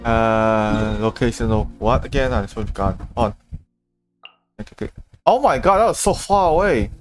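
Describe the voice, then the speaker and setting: A man's voice: fairly low pitch, lively intonation, normal speed. A man livestreaming a game, chatting casually and muttering to himself as he plays. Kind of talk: casual livestream chat over gameplay. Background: game music.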